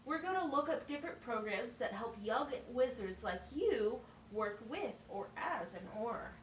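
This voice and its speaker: Lively high-voiced female teacher, acted by a woman. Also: normal speed, natural voice. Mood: encouraging, instructive. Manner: instructional, simple step-by-step explaining with questions. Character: patient, encouraging female special education teacher at wizard school. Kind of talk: amateur puppet show acting. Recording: echoey, distant mic with faint noise.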